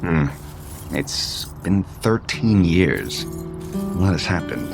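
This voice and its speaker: A man's voice: deep voice, expressive, takes it slowly, vocal fry, music in the background, full character voice. A male actor playing a guarded, conflicted adult son and unhappily married father in an audio soap opera. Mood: hesitant. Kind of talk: naturalistic soap opera acting.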